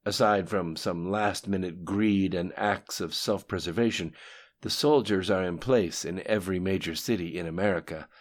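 A man voicing a cold, composed conspirator in an audiobook, deferential yet unafraid as he addresses his dark master. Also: low-pitched, normal speed. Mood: businesslike. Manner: cool, deferential yet unafraid, matter-of-fact. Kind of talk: audiobook dialogue acting.

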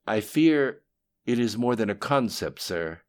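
A cold, composed male conspirator, acted by a male audiobook reader, reports to a dark master. He is deferential yet unafraid and matter-of-fact, with his pitch lifted in emphatic dialogue. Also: animated, a little slow. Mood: calm, insistent.

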